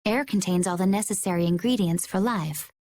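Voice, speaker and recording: A woman playing a composed, knowing young adventurer in a game, explaining things calmly. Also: mid-range voice, fairly quick, no echo, clean studio sound, natural voice. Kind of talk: English game dub acting.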